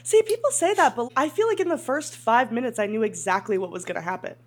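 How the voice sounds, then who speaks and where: Female podcast co-host, higher-pitched and lively, opinionated and giggly in loose movie chat. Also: quick pace. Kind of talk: casual film chat.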